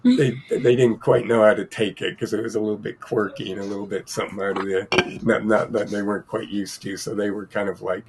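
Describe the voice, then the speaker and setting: A man co-hosting a casual film podcast, sounding croaky from a cold and rambling with many fillers and restarts. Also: on the low side, steady tone, a little fast, few pauses, noisy background. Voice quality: gravelly, husky. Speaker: podcast co-host.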